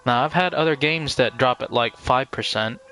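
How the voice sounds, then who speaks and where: A man's voice: mid-range voice, moderate pace, bright, forceful tone, soft background music, rough recording. A man chatting casually and in a rambling way as a game streamer, talking to his viewers over gameplay. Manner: rambling, addresses viewers by name.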